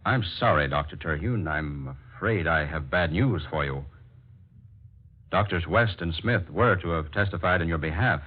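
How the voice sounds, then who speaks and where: Solemn deep american doctor on a board, hesitant official manner, muffled old radio. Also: male voice, lively intonation, normal speed, husky. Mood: regretful. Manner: hesitant, formal. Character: solemn official specialist on a medical board, male. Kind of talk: 1940s radio acting. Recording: dull lo-fi vintage radio.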